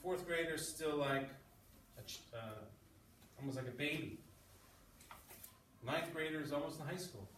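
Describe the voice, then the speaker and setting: A man's voice: on the high side, a little slow, long pauses, fairly bright tone. A man teaching sixth-grade English, reading aloud and explaining as he goes, often checking in with short questions. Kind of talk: classroom read-aloud with running commentary and questions to pupils. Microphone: at some distance from the speaker. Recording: roomy, distant mic with background noise.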